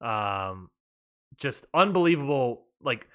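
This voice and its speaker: A man's voice: mid-range voice, very animated, slow, a bit bright, clear, resonant tone. A man hosting a wrestling podcast, recapping matches in an enthusiastic, rambling way. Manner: enthusiastic, rambling with fillers and restarts. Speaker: podcast host.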